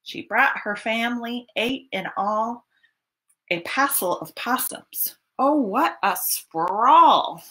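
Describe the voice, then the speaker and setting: A woman in her thirties reads a children's story aloud in a small room. Her voice is fairly high and bright, with lively, expressive swings and an unhurried teacherly delivery. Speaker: children's library storytime host. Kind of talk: picture-book read-aloud for young children. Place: small home room, indoors.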